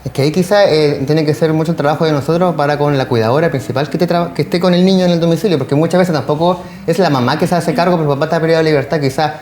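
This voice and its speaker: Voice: mid-range voice, rapid-fire, hardly any pauses, darkish tone. A social worker with a Chilean accent, explaining casework conversationally as an interview guest. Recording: roomy echo, faint background noise.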